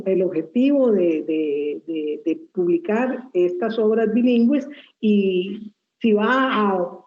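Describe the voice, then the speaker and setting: A woman interviewer asks thoughtful questions in a measured way. Her voice is mellow and warm. The recording is roomy and dull. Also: mid-range voice. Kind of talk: book presentation interview.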